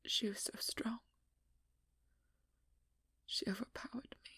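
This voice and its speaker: A woman playing a haunted, determined vampire hunter in an ASMR audio role-play, confessing slowly and haltingly in a breathy, husky whisper, with pain in her delivery. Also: sharp tone, no echo. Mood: anguished, tearful dread. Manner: slow, halting, pained confession in short broken phrases.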